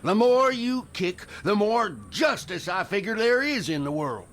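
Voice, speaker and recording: A man's voice: sits high, lively intonation, unhurried, a bit bright. An actor playing a bitter, vengeful older frontier father, accusing and emphatic, in a radio drama. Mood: vengeful anger.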